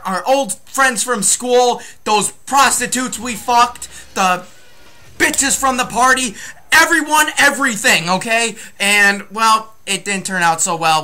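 A young man tells a casual, crude story in a bright, forceful voice. His pitch moves around a lot, like an animated host talking over gameplay. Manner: crude, joking. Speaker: host of a gaming video.

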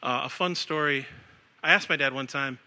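Very bright-voiced man giving a talk. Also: medium pitch, moderate pace. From born in Samoa, raised in the United States. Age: 40s. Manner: upbeat, casual, leads the crowd. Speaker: speaker at an education event. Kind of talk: live talk to an audience.

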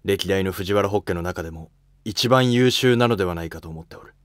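A man plays a dignified emperor in a historical drama. The voice is low and dry, and the delivery is formal, measured and considerate, with quiet authority. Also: no room sound, natural voice. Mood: solemn. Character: reigning male emperor, dignified, considerate ruler. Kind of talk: amateur historical drama dialogue.

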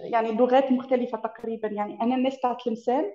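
Young woman, Eastern Algerian accent, quick animated talk, roomy dull video call sound. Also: fairly high pitch, a crowd in the background. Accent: Eastern Algerian Arabic. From Tebessa, Eastern Algeria. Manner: quick, animated, laughs often. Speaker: interview guest. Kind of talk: casual chat explaining dialect. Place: room with bookshelves, indoors.

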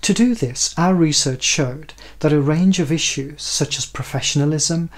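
A man's voice: on the high side, normal speed. A man, presenting an explanatory video as a researcher indoors.

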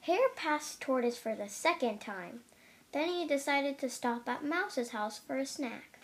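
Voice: sits high, unhurried, a little hiss or hum. A young reader reading a children's picture book aloud, carefully, with the occasional stumble. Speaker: storybook reader.

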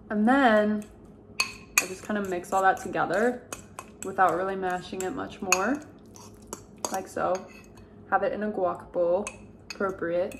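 A woman's voice: medium pitch, background noise. A young woman chatting casually to the camera about food at home, upbeat and gushing. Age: young adult. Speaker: lifestyle vlogger. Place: home kitchen, indoors.

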